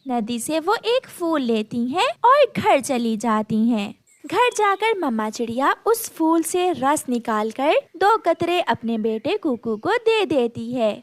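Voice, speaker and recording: A woman's voice: high voice, sing-song, slow pace. A woman narrating a scripted children's cartoon story.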